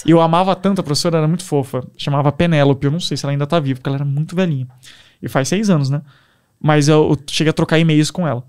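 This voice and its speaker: A young man with a Brazilian Portuguese accent, a podcast guest telling stories in a casual, animated way. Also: a little high, racing, clear, resonant tone. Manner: animated, enthusiastic storyteller. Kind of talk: casual storytelling.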